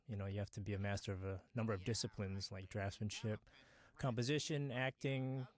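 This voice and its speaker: Earnest low-voiced animator, a man. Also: steady tone, brisk. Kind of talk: casual audio commentary.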